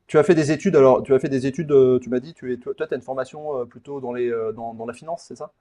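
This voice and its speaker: A man's voice: mid-range voice, fairly even intonation, quick pace, warm tone. A man from Paris, hosting a casual interview in an informal, blunt, digressive way and often repeating words. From Paris, France. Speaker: podcast host, interviewer.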